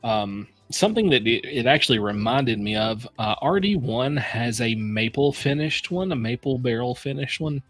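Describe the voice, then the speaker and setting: American man, mid-pitched voice, casual rambling chat, laughs easily. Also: animated, normal speed, few pauses, no room sound, soft background music. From United States. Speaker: whiskey reviewer host.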